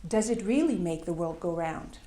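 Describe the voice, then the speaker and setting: A woman with a Dutch accent speaks to a conference audience, her voice sitting fairly low and echoing through a large room. She pauses often and leans on fillers as she goes. She talks through her slides in plain, simple terms. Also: animated, mellow, slight background noise. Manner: hesitant, many fillers, self-deprecating. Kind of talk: presentation with slides. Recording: echoey hall.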